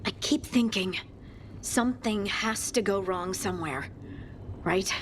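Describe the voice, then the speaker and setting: A woman's voice: medium pitch, measured pace, slight background noise, close to an everyday voice. An actress playing a loyal, determined female fighter in a fantasy game, sincere and plain-spoken. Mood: wry, worried. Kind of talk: scripted fantasy game dialogue.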